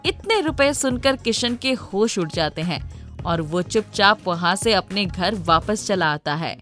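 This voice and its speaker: A woman narrates a scripted moral tale in the manner of a storybook reader. Her voice sits at a medium pitch, with music playing underneath. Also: expressive, unhurried, a bit bright. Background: background music.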